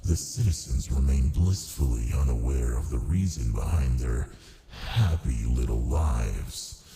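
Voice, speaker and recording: A man playing a haughty, seductive ancient archdemon in an ASMR roleplay, with a gravelly, languid, condescending delivery. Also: very deep voice, expressive, unhurried, warm tone, soft background music, full character voice. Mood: haughty, matter-of-fact.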